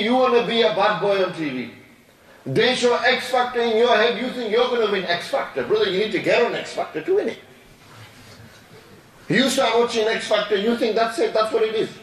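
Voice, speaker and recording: Imam preaching, repeats himself a lot, high voice, roomy echo, sounds far from the mic. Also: male voice, a bit bright. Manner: repetitive rhetorical preaching. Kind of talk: sermon. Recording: echoey room from afar.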